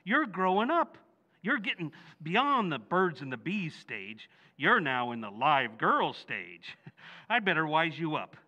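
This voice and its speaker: Man playing a blustery, high-pitched radio-comedy dad. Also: big pitch swings, unhurried, bright, forceful tone, exaggerated voice. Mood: blustery, advising. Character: blustery, blundering working-class father, middle-aged. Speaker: stage actor in radio recreation. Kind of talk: old-time radio comedy acting. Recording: reverberant hall.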